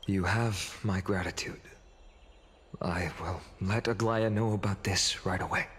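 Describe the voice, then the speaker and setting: A man's voice: low-pitched, steady tone, a little slow, fairly bright tone, slightly rough, full character voice. An actor playing a polite, earnest, mild-mannered man in video game dialogue, speaking courteously and formally.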